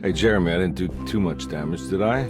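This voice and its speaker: Actor as a weary video game detective. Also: male voice, deep voice, quick pace, over music, natural voice. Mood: confused, wry. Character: weary, gruff private detective, man. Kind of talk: cinematic horror game acting.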